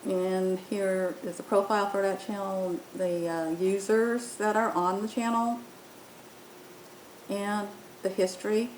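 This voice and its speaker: Woman, medium pitch, informal step-by-step software demo presenter; roomy sound with faint rumble. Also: fairly even intonation, measured pace. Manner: explanatory, step by step. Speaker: app tutorial presenter. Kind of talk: informal software walkthrough narration. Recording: roomy echo, faint rumbling background noise.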